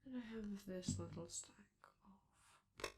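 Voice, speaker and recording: A woman voicing a flamboyant, doting robot celebrity in an intimate ASMR roleplay, speaking theatrically and affectionately with drawn-out phrases and a sometimes breathy, creaky voice. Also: medium pitch, expressive, heavy background noise. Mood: gentle, attentive. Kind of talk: ASMR comfort roleplay, addressing the listener.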